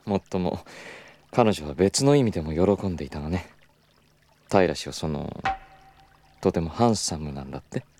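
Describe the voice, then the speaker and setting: Deep-voiced, teasing young gentleman. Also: expressive, moderate pace, long pauses, a little hiss or hum. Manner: smooth, patronizing, knowing. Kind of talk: audio drama acting.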